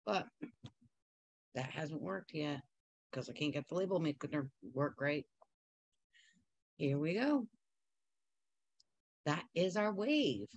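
A woman's voice: sits low, expressive, slow pace, long pauses, no echo, dull audio. A woman teaching a quilting technique in a chatty, upbeat way, wandering into asides while she sews. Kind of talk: casual sewing tutorial.

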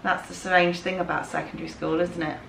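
A woman's voice: lowish voice, flat delivery, fairly quick, vocal fry, a bit bright. A woman in her thirties with an English accent, chatting casually and affectionately with her children as a family vlogger. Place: family home, rooms and garden. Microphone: camera microphone. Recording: noisy handheld home camera audio.

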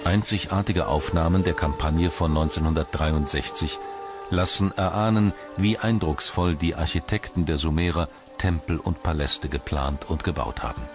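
A man reading scripted documentary narration in a measured, formal manner. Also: a bass voice, over music.

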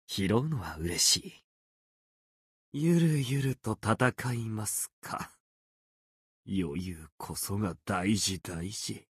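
A man's voice: fairly low pitch, husky, dry recording, clean studio sound, exaggerated voice. A man voicing a proud, playful fox-spirit swordsman in a video game, speaking in polite, archaic, theatrical phrases. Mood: soft, leisurely. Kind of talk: Japanese video game character voice lines.

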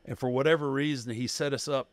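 Man in his thirties, excitable podcast co-host, mid pitch, dry close mic. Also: fast, no echo. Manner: animated, laughs easily, excitable retelling. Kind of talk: casual chat. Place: dark podcast studio. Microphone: desk podcast mic.

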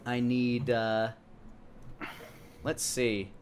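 Man in his 30s, mid-pitched, improvised tabletop game narration, halting delivery. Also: level tone, clear, resonant tone. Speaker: dungeon master.

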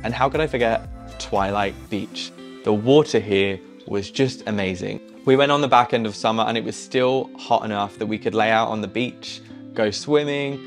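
Easygoing young man, low voice. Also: moderate pace, faint music behind. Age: young adult. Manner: easygoing, enthusiastic, chatty with fillers. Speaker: travel vlogger. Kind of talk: casual travel recommendations to camera. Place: small living room, indoors.

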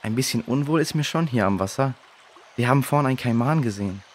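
A man's voice: mid-range voice, lively intonation, fast, a little hiss or hum, natural voice. A man playing a puzzled, uneasy boy researcher in a children's audio drama, speaking earnestly and hesitantly.

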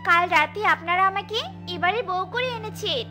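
Woman doing a cartoon young bride, pleading and tearful, very high voice, bright tone. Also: moderate pace, faint music behind. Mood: insistent. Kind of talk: cartoon voice acting.

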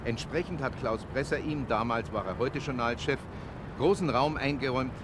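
A man's voice: mid-range voice, normal speed. Older man, outdoor city traffic behind. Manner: calm, reflective, retakes lines. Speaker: correspondent. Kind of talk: on-camera recollection to camera. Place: rooftop outdoors in a big city.

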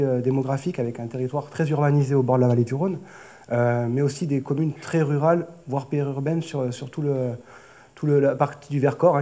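A man in his thirties with a French accent from France gives a conference talk at a lectern microphone. His voice sits at a medium pitch with a warm, rounded tone. He presents semi-improvised, hesitating and often restarting or rewording phrases. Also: vocal fry. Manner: hesitant, often repeating and rephrasing words. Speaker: flood prevention project manager, conference speaker. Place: auditorium stage at a lectern. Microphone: lectern gooseneck microphone.

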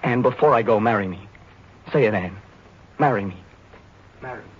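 A man's voice: mid-range voice, normal speed, husky, natural voice. An actor playing a cocky, self-serving young American serviceman in the style of a 1940s radio drama. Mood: earnest, pleading. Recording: muffled, noisy vintage 1940s radio.

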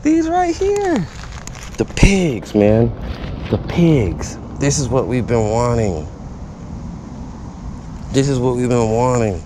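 Guy doing a casual fishing vlog outside, kinda slow talker, repeats stuff, noisy action cam audio. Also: medium pitch, very animated. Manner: informal, repeats phrases, talks to himself. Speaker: fishing vlogger. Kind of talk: casual solo vlog chat while fishing. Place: outdoors in a backyard beside a small pond. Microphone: body-worn action camera.

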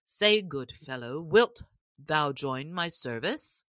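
A woman voicing a haughty, quick-tempered male sheriff from a storybook, speaking in pompous archaic phrases. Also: low-pitched, expressive, a bit bright, dry recording, heavily acted. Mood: pompous, persuasive.

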